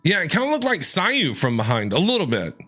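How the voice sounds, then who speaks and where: A man's voice: fairly high pitch, wildly swooping pitch, brisk, music in the background. A man giving casual, wry commentary as he plays a video game, with joking asides. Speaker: streamer reacting to a video game.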